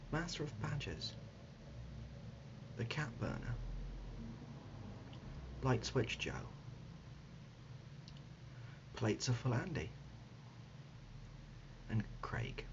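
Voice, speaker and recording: A man playing an absurd, deadpan dad in an ASMR comedy roleplay, speaking haltingly and gently, often dropping to a whisper. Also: lowish voice, gravelly, heavily acted. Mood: solemn, hushed. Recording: dull lo-fi audio, loud background noise.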